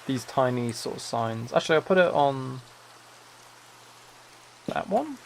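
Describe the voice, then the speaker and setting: Male streamer, creaky mid-pitched voice, soft-spoken relaxed gaming chat, faint rain-like noise behind. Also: unhurried. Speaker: livestreamer. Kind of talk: relaxed chat while playing a building game.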